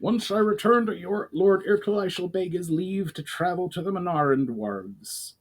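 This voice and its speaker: A man voicing an earnest, courtly dwarf envoy in a fantasy storybook, with a measured, formal manner. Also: sits high, pitched above the performer's own voice.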